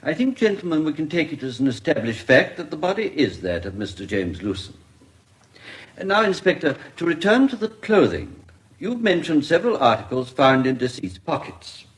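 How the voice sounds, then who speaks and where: A man playing a courteous, formal English coroner presiding over an inquest in a radio drama, with measured courtroom diction. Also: a little high, sing-song. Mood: courteous, probing.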